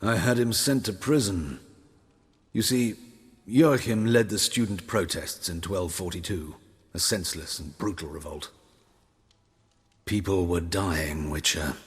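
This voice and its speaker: Husky, echoing, morbid learned coroner. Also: male voice, low voice, heavily acted. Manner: formal, measured, wry. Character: courteous, sombre, learned old coroner, wryly morbid. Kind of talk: video game character acting. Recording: long echoing hall reverb.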